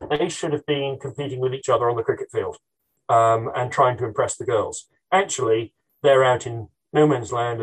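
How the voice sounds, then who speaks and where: A man's voice: medium pitch, breathy edge, poor audio. Hesitant, thoughtful man. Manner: thoughtful, hesitant with many ums and restarts. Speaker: military historian guest. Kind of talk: unscripted interview answers.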